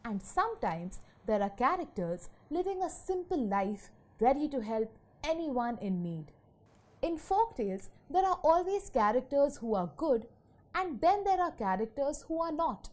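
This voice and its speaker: A woman reading a scripted educational narration for children in an explanatory, storytelling manner. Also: fairly high pitch. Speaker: lesson narrator.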